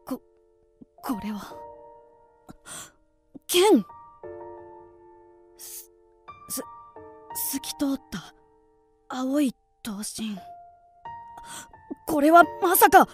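A woman playing an earnest, vengeful teenage boy in a radio drama, intense and halting. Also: sits high, expressive, heavily acted. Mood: shaken. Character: earnest vengeful teenage son of a murdered swordsmith. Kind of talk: theatrical radio drama acting. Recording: music behind the voice.

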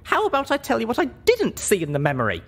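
A man's voice: very high voice, huge pitch swings, fast, slightly rough, voice pushed up, full character voice. A man voicing a small, stubborn young female pony in an earnest, emphatic storybook reading. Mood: indignant, insistent.

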